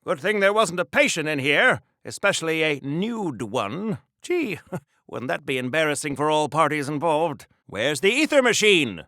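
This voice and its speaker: An actor playing an earnest, socially awkward old-time superhero in a video game, chirpy and rambling, with quaint old-fashioned exclamations. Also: male voice, on the high side, big pitch swings, moderate pace, pushed and bright, no room sound, studio quality, full character voice. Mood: musing. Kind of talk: mid-century hero voice acting for a video game.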